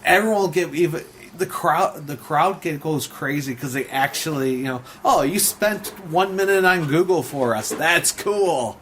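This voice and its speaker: A man's voice: on the high side, moderate pace, hardly any pauses, fairly bright tone, slight background noise. Rambling man chatting casually. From Detroit area. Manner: rambling, many restarts and filler words. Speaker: host. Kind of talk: casual chat. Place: room with a shelf. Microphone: mic on a flexible tripod clamp.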